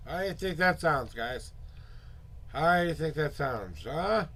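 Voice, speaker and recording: A hoarse man hosting a casual tarot livestream, muttering asides to himself and greeting viewers in a loose, chatty way. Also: a little high, lively intonation, fairly bright tone. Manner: upbeat, drawn-out greetings to viewers. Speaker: tarot reader and livestream host.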